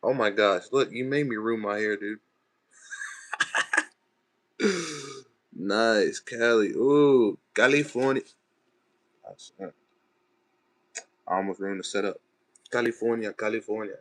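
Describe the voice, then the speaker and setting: A man's voice: lowish voice, slow, long pauses, a bit bright. A young man from Texas, chatting casually on a late-night livestream and answering viewers' comments in loose, slangy talk. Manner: laid-back, chatty, loose slangy phrasing. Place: bedroom at home.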